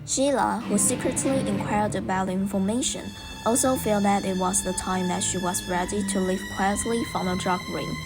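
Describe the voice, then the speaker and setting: A woman's voice: medium pitch, steady tone, moderate pace, hardly any pauses. A woman reading scripted narration for an audio drama, with background music behind her. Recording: music under the voice.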